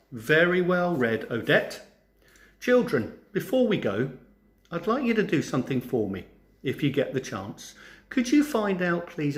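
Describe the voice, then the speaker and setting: Animated man reading to children, echoey room. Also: fairly high pitch. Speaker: teacher reading a story to children. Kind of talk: storybook narration for children. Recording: roomy, echoing sound.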